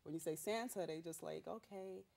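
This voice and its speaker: A young woman rapper from Haiti, a Haitian Creole speaker, telling her story in a bubbly, animated way with street slang. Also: fairly low pitch, moderate pace, vocal fry. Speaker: rapper guest. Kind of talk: casual interview.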